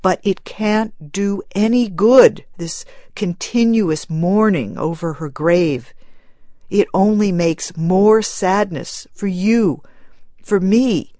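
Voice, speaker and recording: Woman narrator doing a soft-spoken worried husband, slow and soothing. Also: medium pitch, sing-song, dry recording, clean studio sound, voice pushed up. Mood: gentle. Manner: gentle, soothing, coaxing. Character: gentle, worried husband and father. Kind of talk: audiobook character reading.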